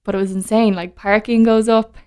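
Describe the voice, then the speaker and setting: A woman's voice: medium pitch, little pitch movement, a little fast, dark tone, clean tone. A woman from Dublin with an Irish accent, chatting easily as a podcast guest and laughing often. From Dublin, Ireland. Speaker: guest, whiskey brand ambassador. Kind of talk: casual interview chat.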